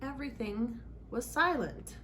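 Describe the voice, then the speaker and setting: Woman doing storytime for kids, highish voice, expressive and dramatic, bit of background noise. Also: measured pace. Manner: expressive, dramatic emphasis on sound words. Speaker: children's library read-aloud host. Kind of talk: animated storybook read-aloud for children.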